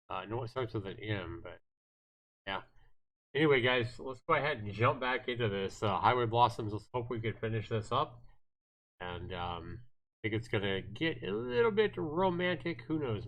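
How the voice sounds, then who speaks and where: A man hosting a casual livestream of a visual novel, talking to viewers in a rambling, halting way and reading game text aloud. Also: fairly low pitch, a bit bright, poor audio.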